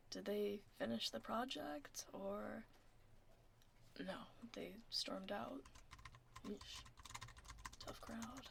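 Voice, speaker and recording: A woman playing a laid-back tomboy werewolf office admin in a soft-spoken, partly whispered ASMR roleplay, casual and understated, close to the mic. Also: mid-range voice, vocal fry. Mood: quietly concerned, then reassuring. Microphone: close binaural microphone. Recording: faint noise, keyboard typing. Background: computer keyboard typing.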